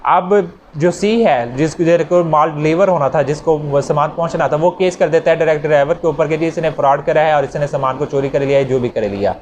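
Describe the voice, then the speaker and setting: A man's voice: on the high side, brisk, darkish tone. A man with a Pakistani accent giving an explanatory law lecture, repeating and rephrasing points with worked examples for students. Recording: noticeable background noise.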